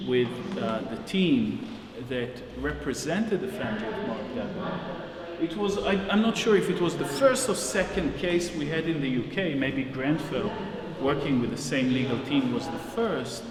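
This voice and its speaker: A man with an Israeli accent giving an unscripted public talk as an exhibition guide, phrasing his thoughts deliberately and rewording as he goes. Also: fairly high pitch. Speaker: research agency director giving a narrated exhibition tour. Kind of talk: public talk, spoken off the cuff. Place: large echoing gallery space. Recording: echoey reverberant hall with audience sounds.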